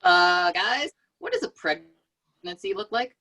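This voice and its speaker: A woman's voice: mid-range voice, sing-song, slow pace, sharp tone, slightly rough, exaggerated voice. A woman reading a naive, easily alarmed young man in a sitcom table read. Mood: worried.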